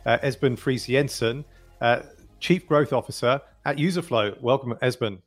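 A man's voice: medium pitch, normal speed, soft background music. A man hosting a podcast interview, chatty and rambling with many fillers. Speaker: podcast host. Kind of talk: casual interview chat.

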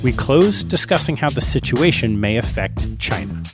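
A man hosting a podcast, reading a measured scripted introduction and then asking his guest questions. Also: mid-range voice, music in the background. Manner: measured, lively intonation. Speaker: podcast host.

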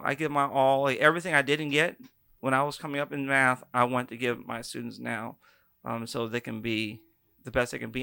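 A man's voice: mid-range voice, gravelly, sharp tone, smooth, no echo, clean studio sound. A man co-hosting a show, a math teacher telling a casual story about school in an easygoing, teasing way.

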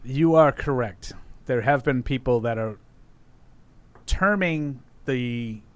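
A man's voice: medium pitch, takes it slowly, no room sound. A man from the Boston area with a Boston accent, co-hosting a sports radio show and arguing his football analysis. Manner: firm, argumentative analysis. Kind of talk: casual sports radio chat.

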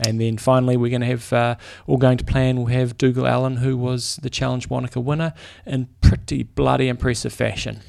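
A man's voice: mid-range voice, little pitch movement, a little fast, creaky, few pauses, darkish tone, no room sound, studio quality. A man with a New Zealand accent, a triathlon coach co-hosting a podcast, easygoing and rambling in casual chat. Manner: easygoing, rambling, restarts his sentences.

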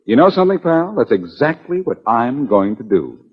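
A man's voice: medium pitch, very animated, normal speed, slightly rough, faint music behind. A man playing a brash, wisecracking amateur detective in a 1940s radio drama, with a wry, needling and assured manner. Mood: needling, cocky. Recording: muffled, dull 1940s radio recording.

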